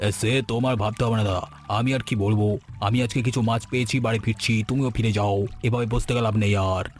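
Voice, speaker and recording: A man's voice: medium pitch, fairly even intonation, fast, slight background noise, natural voice. An actor playing a practical, encouraging younger village fisherman in conversational cartoon dialogue.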